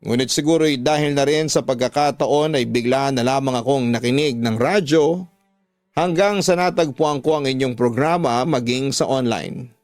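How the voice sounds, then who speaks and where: A man with a Filipino accent voicing a sorrowful widow in her fifties, reading her letter for radio in a measured, earnest way. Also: medium pitch, a little mellow, no echo, faint music behind, close to an everyday voice. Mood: sorrowful, weary. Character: sorrowful fifty-one-year-old widow recounting her hard life.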